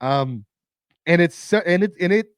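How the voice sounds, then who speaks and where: A man hosting a movie countdown, his voice raspy and tired, rambling casually with lots of swearing, fillers and restarts. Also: high-pitched, expressive, moderate pace, no echo, studio quality. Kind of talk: casual rambling film talk.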